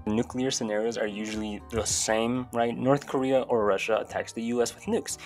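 A man's voice: mid-range voice, fairly even intonation, moderate pace. Young man, irreverent, music behind. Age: young adult. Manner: irreverent, sweary. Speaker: YouTube video host. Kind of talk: casual video commentary. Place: small bedroom, indoors. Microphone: close desk microphone. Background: faint music.

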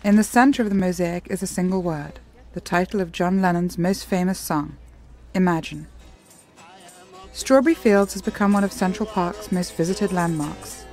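A woman reading scripted documentary narration in a measured, even way. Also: on the low side, faint music behind.

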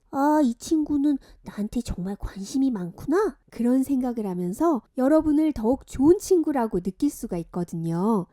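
A woman telling stories to young children as a teacher, with gentle, sing-song, expressive narration. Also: a little high, no echo, clean studio sound.

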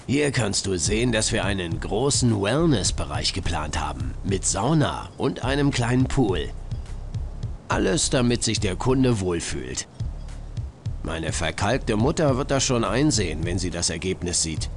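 A man's voice: lowish voice, sing-song, unhurried, faint music behind. An actor playing a brash, greedy gym-owning businessman in a cartoon adventure game, boastful and dismissive. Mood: smug. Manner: boastful, salesman-like.

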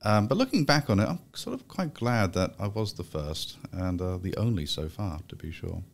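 A middle-aged man hosting an interview podcast in a small office room, speaking in a measured, formal way into a studio mic. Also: low voice, sing-song, vocal fry. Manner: measured, formal delivery with fillers in chat. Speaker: podcast host. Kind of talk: scripted intro then interview. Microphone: studio mic with pop filter.

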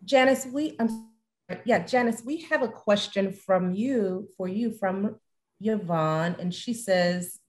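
A woman's voice: mid-range voice, takes it slowly, roomy sound, soft background music. A middle-aged woman hosting a conversational question-and-answer session on a video call. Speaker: host, moderator. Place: bright living room at home, video call.

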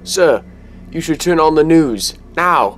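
Man playing an urgent, high-voiced loyal butler. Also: animated, takes it slowly, slight background noise. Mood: grave. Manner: formal, urgent. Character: loyal, anxious male butler aide, urgent and formal. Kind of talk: comic book audio drama acting.

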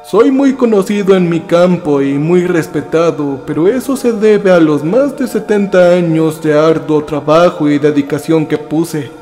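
A man's voice: fairly high pitch, measured pace, few pauses, mellow, faint music behind, lightly acted. A man voicing a cold, persuasive old professor. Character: elderly male professor, cold, persuasive, ninety-two.

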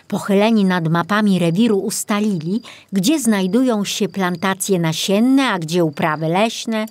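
A woman reading a children's audiobook as narrator, in an expressive storytelling manner. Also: fairly low pitch.

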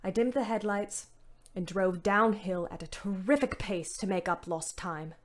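An actress playing a weary, bitter young ambulance driver, narrating a Great War audio drama in a wry, dramatic way. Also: female voice, mid-range voice, measured pace, natural voice. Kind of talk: first-person audio drama monologue.

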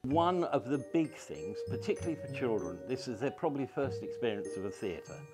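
A man's voice: medium pitch, sing-song, a little fast. A man in his sixties talking casually in an interview as a costume designer. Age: 60s. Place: indoor costume room. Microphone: lapel mic. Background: music.

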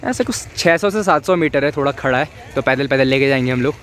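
A man's voice: mid-range voice, lively intonation, quick pace. A young man, vlogging as he cycles outdoors on mountain roads, chatting to viewers and giving tips, mixing in English words. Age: young adult. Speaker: cycling vlogger. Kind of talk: casual vlog narration. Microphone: phone or action camera at arm's length.

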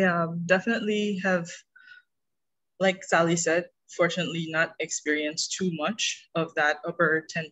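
A woman from Guyana, speaking calmly as a geologist panelist on a video call. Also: deep voice, poor audio. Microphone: video call webcam.

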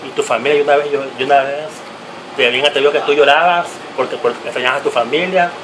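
A man's voice: medium pitch, fairly even intonation, rapid-fire, fairly bright tone, a little hiss or hum, low-quality recording. A man hosting a casual celebrity interview in a small room, eager and chatty with many short replies. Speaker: interviewer and host. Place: small indoor room, seated close beside guest.

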